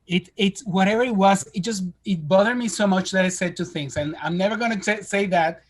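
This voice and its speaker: A man with a high-pitched voice, a native Spanish speaker raised in Venezuela. He is a podcast guest who tells his stories bluntly and with animation. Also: brisk. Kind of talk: casual podcast conversation.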